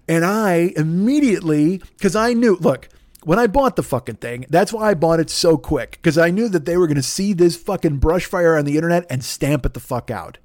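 A man with a fairly high-pitched voice tells a rambling, profane comic story as a podcast host. He swears constantly and acts out the exchanges he quotes. The sound is dry and close, with no room echo. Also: a little mellow.

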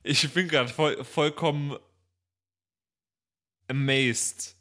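A man chatting casually and jokingly, excitable and amused. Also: fairly high pitch, drawn-out words. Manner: excitable, amused, breaks into laughter. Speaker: podcast player in an improvised audio play.

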